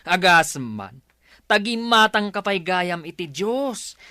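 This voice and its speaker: A woman's voice: lowish voice, expressive, slow pace, sharp tone, no room sound, clean studio sound, heavily acted. A woman playing a tipsy, mocking villager in an Ilocano radio comedy, talking in a slurred, teasing way. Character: tipsy, mocking villager who drinks and teases.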